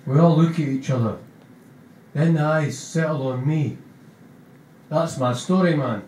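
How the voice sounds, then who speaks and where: A man reading aloud as a gentle young male junkie narrator with a Scottish accent, in broad rambling dialect. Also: a little high, a little slow, a little hiss or hum, natural voice. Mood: wry. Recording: roomy, distant mic.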